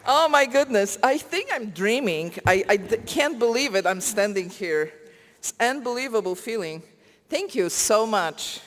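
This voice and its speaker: A woman's voice: a little high, lively intonation, unhurried. Polish-accented woman in a reverberant hall. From Poland. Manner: emotional, searching for words. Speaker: award recipient. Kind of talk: acceptance speech. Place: large conference hall.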